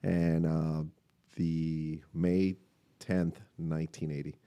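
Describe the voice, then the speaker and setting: A man from Cuba in his late forties, a restaurant owner telling his story as a guest, relaxed and hesitant with many fillers. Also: a bass voice, slow pace, smooth. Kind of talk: interview answers, personal story. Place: podcast room in a restaurant.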